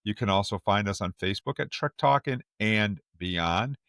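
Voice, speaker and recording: A man's voice: fairly low pitch, normal speed, fairly bright tone, no echo, studio quality. A man hosting a casual fan podcast, bantering and joking as he talks. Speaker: podcast host.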